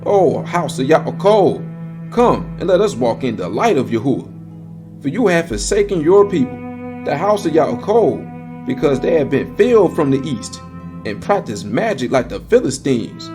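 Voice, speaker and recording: Solemn man reading scripture, lively pitch over music. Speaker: narrator. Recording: music bed behind the voice. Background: musical score.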